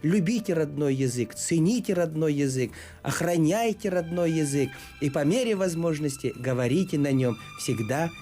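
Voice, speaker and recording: A man hosting a studio discussion on TV, a native Yakut speaker talking in a measured, formal way with frequent pauses. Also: a little high, expressive, warm tone, soft background music. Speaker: TV talk show host.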